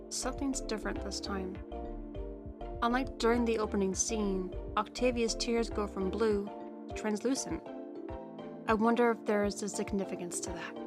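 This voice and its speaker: A young woman co-hosting scripted recap commentary in a chatty, inquisitive way. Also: medium pitch. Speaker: co-host. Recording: clear music behind the voice.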